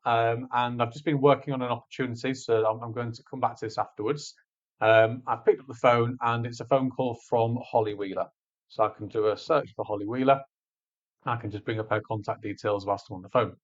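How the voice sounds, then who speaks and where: A man presenting a software tutorial, explaining step by step in an informal, conversational way. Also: mid-range voice, a little fast, muffled recording. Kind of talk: screencast walkthrough, conversational instruction. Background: voice-over on a screen recording.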